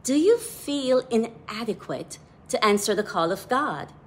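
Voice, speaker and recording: A woman with a Jamaican accent, a devotional author giving a scripted devotional talk in a measured, deliberate way. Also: on the high side, expressive, a little hiss or hum.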